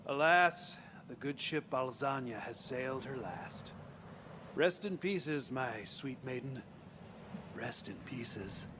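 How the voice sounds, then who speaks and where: A mournful undead pirate captain in a fantasy game. He draws his words out slowly and hammily. Loud ambience runs behind him. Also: mid-range voice, lively intonation, heavy background noise. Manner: theatrical, drawn-out lamenting. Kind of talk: hammy video game acting.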